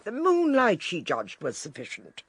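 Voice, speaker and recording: An actress playing a shrewd, eccentric older British lady detective in a radio drama, theatrical, deliberate and drily amused. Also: on the low side, wildly swooping pitch, husky, no echo, exaggerated voice. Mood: shrewd, explaining.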